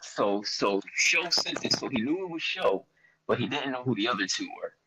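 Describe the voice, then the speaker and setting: A man with a Philadelphia accent, a podcast guest telling a story in casual chat, laid-back but animated as he acts out what people said. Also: medium pitch, normal speed, over a noisy crowd, rough recording.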